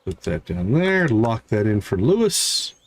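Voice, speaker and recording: A man's voice: fairly low pitch, very animated, unhurried. A man hosting a live game stream, thinking aloud in short casual fragments and chatting to viewers, with a slight catch in his throat. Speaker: livestream host, gamer.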